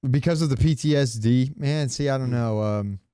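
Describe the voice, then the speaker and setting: A man's voice: mid-range voice, moderate pace, mellow, clean tone. A man hosting a casual gaming podcast, joking and opinionated. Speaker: podcast host.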